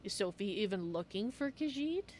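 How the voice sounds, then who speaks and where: Woman streamer with lively, swooping intonation. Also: mid-range voice, normal speed, slight background noise. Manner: playful, musing aloud. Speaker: streamer, game roleplayer. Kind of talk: in-character roleplay narration of a video game, in third person.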